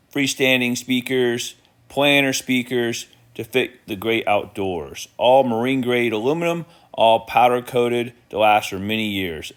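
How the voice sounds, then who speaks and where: A man narrating an informal product tour in an approving, enthusiastic manner, pointing things out to viewers. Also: mid-range voice.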